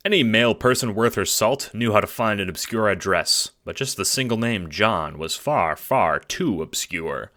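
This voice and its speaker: Deep-voiced man narrating an audio drama. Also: expressive, normal speed, hardly any pauses, pushed and bright. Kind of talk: scripted second-person audio drama narration.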